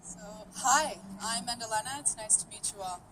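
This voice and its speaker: A high-pitched woman in her thirties, whose was Greek, delivers a steady, determined speech outdoors. The mic sits well away from her, and a faint hiss runs underneath. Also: moderate pace. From Canada. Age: 30s. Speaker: rally speaker. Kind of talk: prepared public speech. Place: outdoor rally. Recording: voice picked up from a distance.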